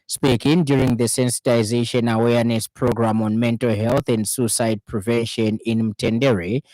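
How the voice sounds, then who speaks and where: A man with a Zambian accent reading a scripted radio news bulletin in a formal, measured way, phrase by phrase. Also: medium pitch, steady tone, darkish tone, no room sound, low-quality recording.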